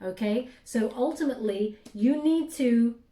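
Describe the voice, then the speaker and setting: Soft-spoken woman reading tarot, echoey. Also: on the high side, expressive, unhurried, distant mic. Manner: soft, hesitant, many fillers, addresses listener warmly. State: losing her voice, clearing throat. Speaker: tarot reader.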